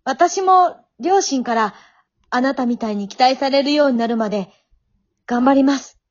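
An earnest young aspiring singer acted by a woman in an amateur radio play. Her voice is high, and she exhorts and encourages emphatically. The sound is slightly muffled. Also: normal speed, close to an everyday voice. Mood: encouraging. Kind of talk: amateur radio drama acting.

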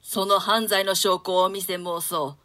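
A woman's voice: mid-range voice, fairly even intonation, a little slow, sharp tone. A woman playing a wrathful, scheming nobleman in an archaic, imperious dramatic reading of Shakespeare. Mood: stern indignation. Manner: archaic, imperious, theatrical delivery.

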